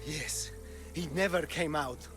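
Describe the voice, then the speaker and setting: An actor playing a guilt-ridden, shaken old caretaker who speaks slowly and haltingly after torture. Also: male voice, fairly high pitch, music in the background. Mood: grieving. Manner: slow, halting, mournful. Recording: orchestral game score underneath.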